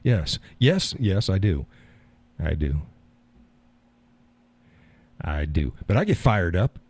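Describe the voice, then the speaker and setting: A man hosting a live call-in stream, talking in a calm, easygoing way and chuckling often. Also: low voice, slow pace, husky. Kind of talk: casual chat.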